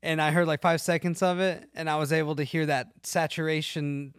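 A man's voice: on the high side, level tone, brisk, clear, resonant tone, dry recording. A man hosting a podcast, chatting casually about music and often searching for his words. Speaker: podcast host. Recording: dry, music playing behind.

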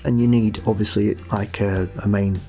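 Man, low voice, explanatory and conversational, writer in a relaxed interview, music behind. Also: normal speed. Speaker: audio drama writer. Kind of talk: casual interview chat.

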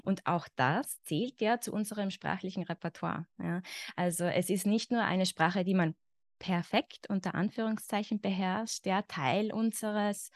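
A woman's voice: fairly low pitch, no echo, studio quality. A woman in her thirties with an Austrian German accent, a guest expert talking reflectively in a podcast conversation and often saying "genau" and "ja".

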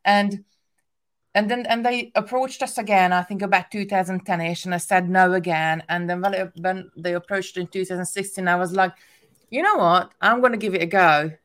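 A woman's voice: on the low side, fairly even intonation, normal speed, fairly bright tone. A woman from Hungary with a strong Hungarian accent, English being her second language, chatting casually as an interview guest about her craft business with lots of restarts and fillers. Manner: chatty, many restarts and "like" fillers, laughs often.

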